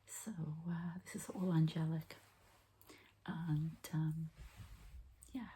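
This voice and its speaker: A woman softly whispering in an unhurried, halting murmur, presenting an ASMR energy healing as the healer. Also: deep voice, little pitch movement. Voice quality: soft, breathy whisper with little voicing. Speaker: energy healer presenting her own channel. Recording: faint background noise.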